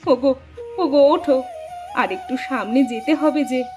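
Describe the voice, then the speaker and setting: Lady doing emotive village wife in fairy tale cartoon, plaintive, really slow, music clearly behind. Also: high-pitched. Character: devoted middle-aged village wife and mother.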